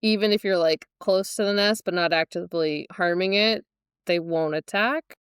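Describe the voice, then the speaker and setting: A woman's voice: lowish voice, normal speed, clean tone, dry recording, studio quality. A woman co-hosting a podcast, explaining book details in casual, tentative conversation. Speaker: podcast co-host. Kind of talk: casual book discussion chat.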